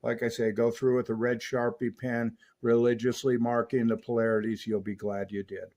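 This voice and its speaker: A man's voice: medium pitch, little pitch movement, moderate pace, darkish tone, lo-fi sound. Emphatic man explaining technical repairs. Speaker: radio repair technician and reviewer. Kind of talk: explanatory voice-over for a technical review.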